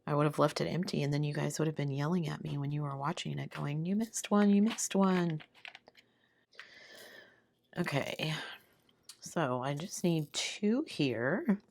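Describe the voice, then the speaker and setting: A woman chatting casually and murmuring to herself as she does a craft, her hoarse voice giving out from sickness. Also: a little slow, pauses often, a little mellow. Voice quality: hoarse, low voice. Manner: murmuring to herself while working. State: sick, voice giving out. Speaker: craft video host. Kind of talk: casual chat while doing a craft.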